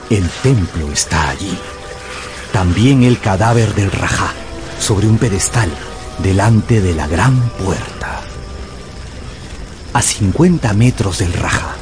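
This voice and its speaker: A man narrating an adventure novel as dramatic scripted storytelling for radio. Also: fairly low pitch. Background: music.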